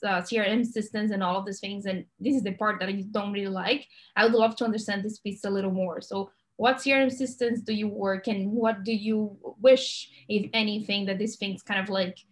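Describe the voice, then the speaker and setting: A woman's voice: medium pitch, fairly even intonation, normal speed, hardly any pauses. A woman interviewing a guest, asking questions in a hesitant way as she searches for her words.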